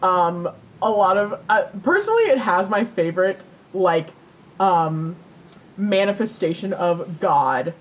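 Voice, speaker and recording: A young woman co-hosting a podcast, telling stories casually in a giggly, animated way with easy swearing. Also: a little slow. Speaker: podcast co-host. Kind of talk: casual chat, storytelling. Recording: rumbly background noise.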